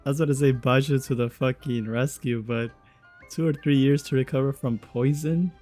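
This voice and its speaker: A man's voice: medium pitch, steady tone, a little fast, darkish tone, faint music behind. A man giving casual, slangy, profane commentary as he plays through a game story. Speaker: streamer reacting to game story.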